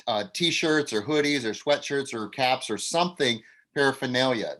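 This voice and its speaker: A man's voice: mid-range voice, a bit bright. A man leads a casual meeting on a video call as an acting coach. He sounds encouraging and gives directions to his group, with a mid-range voice.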